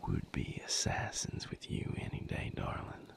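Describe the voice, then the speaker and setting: A man playing a wry, tender vampire boyfriend in an intimate audio roleplay, with a breathy, gravelly voice and a folksy, unhurried, softly teasing way of talking. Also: in a whisper, natural voice. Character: wry, tender male vampire boyfriend tending injured partner.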